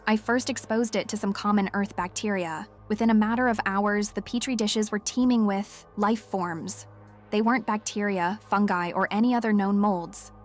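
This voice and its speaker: A woman's voice: medium pitch, normal speed, close to an everyday voice. Woman acting an obsessed, frightened scientist, music underneath. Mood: awed unease. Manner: hesitant, confiding, intense. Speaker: voice actor in audio drama. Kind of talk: dramatic monologue as spoken diary.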